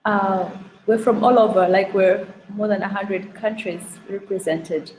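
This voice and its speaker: Moderator, woman from Tanzania, encouraging, mid pitch, echoey room with light background noise. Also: steady tone, unhurried, a little mellow. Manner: encouraging, hesitant with fillers. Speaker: session moderator. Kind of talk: moderating an online wrap-up. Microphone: video call.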